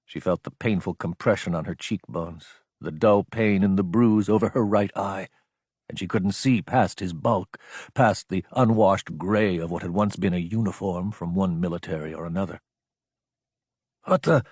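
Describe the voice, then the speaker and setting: A man's voice: sits low, steady tone, moderate pace, darkish tone, slightly rough, no echo, clean studio sound. A man reading action fiction as a dramatic, scripted audiobook narration.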